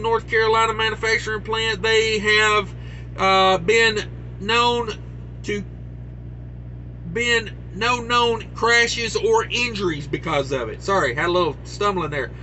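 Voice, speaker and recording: A man's voice: high-pitched, fairly even intonation, a little slow, sharp tone, slight background noise, rough recording. A man hosting a trucking news show, reading stories and rambling into joking asides. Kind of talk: casual news commentary.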